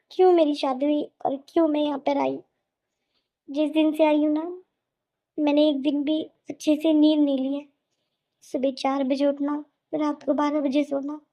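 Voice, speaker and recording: A woman's voice: high-pitched, muffled recording. A woman voicing a weary, hurt young daughter-in-law who pleads plaintively in a melodramatic cartoon. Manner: plaintive, pleading, self-pitying. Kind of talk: melodramatic Hindi cartoon voice acting.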